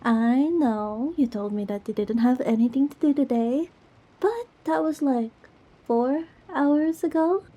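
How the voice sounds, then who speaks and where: A woman playing a goofy, affectionate young girlfriend in an ASMR roleplay, talking tenderly and playfully to comfort a scared partner in a soft, breathy voice. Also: high voice, measured pace. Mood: affectionate, cheerful. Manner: playful, rambling, tender, reassuring. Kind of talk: ASMR girlfriend roleplay, intimate one-sided dialogue.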